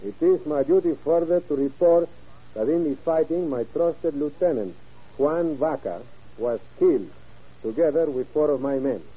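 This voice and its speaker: An actor playing a cold, scheming, commanding Mexican general in old-time radio drama style. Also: male voice, fairly high pitch, moderate pace, husky. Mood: calculating, commanding. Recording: muffled old radio recording.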